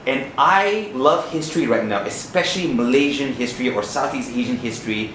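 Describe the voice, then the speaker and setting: A man with a mid-pitched voice hosts a podcast, telling stories and asking questions with animated enthusiasm. He grew up in Hawaii. The room sounds roomy, with a faint hiss underneath. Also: a little fast. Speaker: podcast host. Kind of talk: casual interview conversation.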